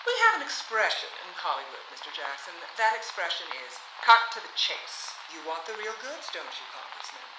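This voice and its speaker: A woman's voice: lowish voice, big pitch swings, moderate pace, hardly any pauses. An actress playing a sarcastic, defiant young Hollywood woman at a 1950s hearing in a period radio drama. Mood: sardonic, cool. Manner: sarcastic, grandly rhetorical. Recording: roomy, noisy hall sound.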